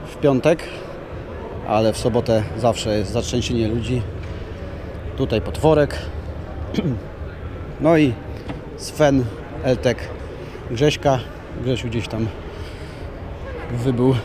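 A hoarse man slowly walking through a big indoor exhibition hall, giving casual chuckling commentary for a video. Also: mid-range voice, background noise. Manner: slow, rambling, chuckling asides. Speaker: vlogger touring a trade show.

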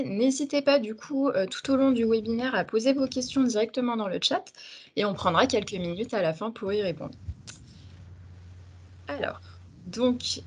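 A young woman introducing a webinar as communications and recruitment officer, speaking hesitantly with many fillers. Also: on the high side.

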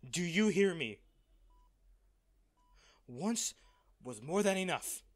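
A man playing a wealthy, devoted and distraught lover in an intimate audio roleplay, speaking earnestly and haltingly. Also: high-pitched, big pitch swings, exaggerated voice. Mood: pleading, upset. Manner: halting, emotional, earnest delivery with pauses.

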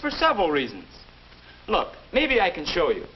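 A man's voice: high-pitched, wildly swooping pitch, normal speed. An actor playing an earnest young American man who coaches his younger self on good habits in a 1950s instructional film. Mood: earnest, persuasive. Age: young adult. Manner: instructive, earnest, rhetorical questions. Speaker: instructor and narrator in an educational film. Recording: dull, noisy 1950s film soundtrack.

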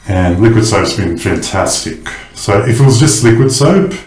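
A man casually explaining his soap making in a kitchen, relaxed and chatty with many pauses and ums. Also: lowish voice, echoey room. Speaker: soap maker. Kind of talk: casual how-to narration.

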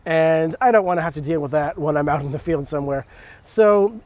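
Higher-pitched man, casual and explanatory. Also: fairly quick, a little mellow. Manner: conversational, explanatory. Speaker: host. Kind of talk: casual hobby chat and cooking demonstration. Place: outdoors in a leafy backyard.

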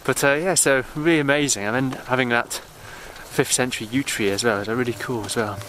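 A man's voice: medium pitch, brisk, a bit bright, poor audio. A man in his thirties with an English accent, chatting casually and enthusiastically in a rambling vlog style. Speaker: vlogger. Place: outdoors on country lanes, or inside a parked car. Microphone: handheld camera at arm's length. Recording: background noise outdoors and in car.

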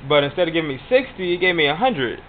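A man's voice: fairly high pitch, very animated, fast talker. A man making a prank phone call as a customer, insistently repeating himself and talking over the other person. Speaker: prank caller. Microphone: telephone call. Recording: tinny phone line with faint noise.

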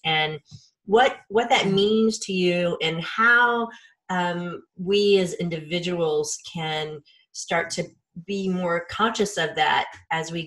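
A woman's voice: lowish voice, fairly bright tone, rough recording. A middle-aged woman hosting a conversational interview, speaking into a desk microphone on a video call. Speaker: interview host. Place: home room indoors.

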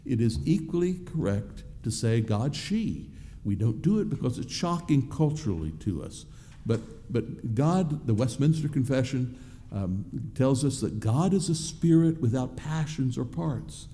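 An older man delivers a sermon from a pulpit. His mid-pitched voice is very warm, dark and relaxed. He explains deliberately, halting and repeating words as he goes. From Southern United States. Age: 60s. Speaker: pastor preaching. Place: church sanctuary, at the pulpit.

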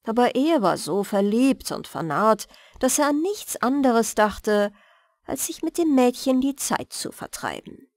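A woman's voice: on the high side, lively intonation, measured pace, a little mellow, no room sound, studio quality. A woman reading a fairy tale aloud as a soothing bedtime audiobook narration. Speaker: audiobook storyteller.

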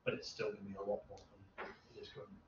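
A man in his thirties with a fairly low voice explains technical matters informally. He speaks haltingly, thinking aloud. He sounds distant from the microphone in an echoey room, with some background noise. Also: completely flat delivery. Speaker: researcher presenting at a seminar. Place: medium seminar room with hard walls. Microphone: distant camera microphone. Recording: roomy, distant, muffled, noisy room recording.

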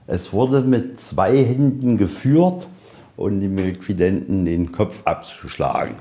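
A man's voice: sits low, slow, dark tone. A man, middle-aged, giving an informal, matter-of-fact guided tour in a colloquial way, often posing questions and answering them. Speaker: museum guide. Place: inside a museum, walking tour.

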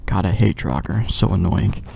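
A man's voice: low voice, a little slow, vocal fry, mellow, husky. A young man giving offhand, slangy commentary as he plays a video game, talking to himself. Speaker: gamer, let's play host.

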